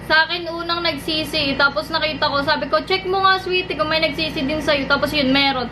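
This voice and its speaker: A young woman chatting casually in a rambling, halting way that repeats itself. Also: high-pitched, fairly even intonation, background noise. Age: young adult. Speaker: vlogger making a prank call. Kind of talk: casual chat with friends on a call.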